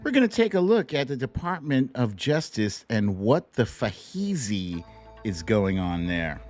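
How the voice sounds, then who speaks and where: A man's voice: mid-range voice, very animated, measured pace, darkish tone, soft background music. A middle-aged man hosting a livestream show, chatting casually with viewers in a playful, sing-song way. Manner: playful, exclamatory, sing-song with silly catchphrases.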